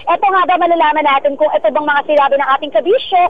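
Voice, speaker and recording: A high-voiced Filipino woman hosts a radio show in playful, exclamatory banter. The sound is dull, with faint noise behind her. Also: racing. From Philippines. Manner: playful, exclamatory, mixes in English phrases. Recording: dull band-limited radio audio with noise.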